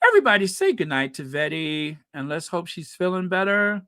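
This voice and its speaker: A man hosting a casual livestream, chatting with viewers and reading their comments aloud, animated and quick to laugh. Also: high-pitched, clean tone, dry recording, clean studio sound. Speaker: livestream host.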